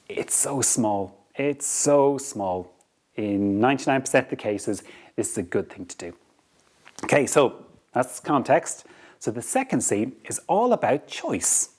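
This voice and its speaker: A man's voice: mid-range voice, darkish tone. Irish man giving an earnest leadership talk. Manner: earnest, repeats words, checks in with "okay?". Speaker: podcast host.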